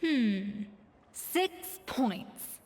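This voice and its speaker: An actress playing a haughty, exacting young female chef in a video game, with a crisp, demanding, theatrical and bluntly critical way of talking. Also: fairly high pitch, sing-song, extremely slow, a little mellow, long reverb tail, slight background noise, exaggerated voice. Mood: scathing.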